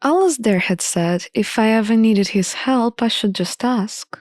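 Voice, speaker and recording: A woman's voice: a little high, unhurried, darkish tone, dry recording, clean studio sound. A woman reading scripted first-person story narration for a visual novel playthrough.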